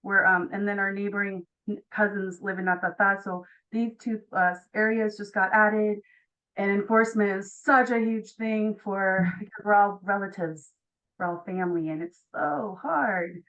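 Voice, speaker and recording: A woman's voice: mid-range voice, steady tone, normal speed, a bit bright. A woman from Tonga asking a question on an online call in an enthusiastic, casual, informal way. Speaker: audience member asking a question. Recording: muffled online call audio.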